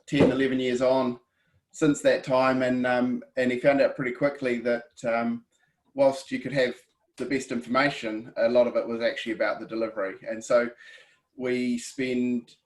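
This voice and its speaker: A man with a New Zealand accent giving a casual webinar presentation over a video call, often pausing with ums and restarting his sentences. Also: mid-range voice, flat delivery. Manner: hesitant, many ums and restarts. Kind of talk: relaxed presentation.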